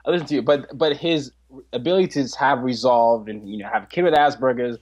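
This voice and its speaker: A man in his twenties, a podcast guest, talking in an animated way, laughing often and restarting his sentences. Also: medium pitch, fairly quick, lo-fi sound. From Nigeria. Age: 20s. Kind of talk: casual interview chat.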